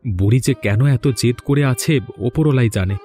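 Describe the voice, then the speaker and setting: A man's voice: mid-range voice, brisk, mellow, soft background music, natural voice. An actor playing a weary, troubled village man in a fairy-tale cartoon, speaking in an earnest, lamenting way. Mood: worried.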